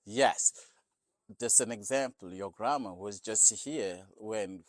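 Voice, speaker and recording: Store owner interviewee: man with a Senegalese accent, non-native English, low-pitched, conversational, close dry sound. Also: slow pace, no room sound. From Senegal, West Africa. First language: not English. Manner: conversational, often adds "you know". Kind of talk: casual interview answers.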